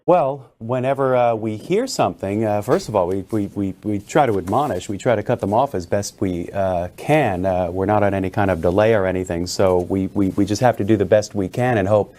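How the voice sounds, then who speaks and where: A man hosting a live political call-in show, speaking politely and haltingly, often repeating words as he goes. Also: lowish voice, dark tone. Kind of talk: unscripted live broadcast hosting.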